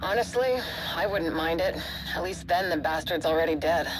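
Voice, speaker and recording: A woman's voice: on the low side, normal speed, background noise, lightly acted. A woman playing a driven, hard-edged agency commander in a video game drama. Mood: determined. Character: driven, frustrated female agency commander hunting a rogue.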